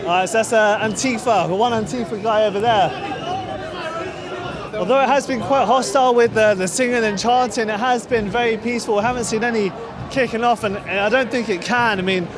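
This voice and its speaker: High-pitched man reporting from a busy street. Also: a little fast. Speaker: host. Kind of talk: street interviewing and commentary to camera. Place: outdoors on a city street at a protest. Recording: busy outdoor street, crowd and music behind. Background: crowd noise and music.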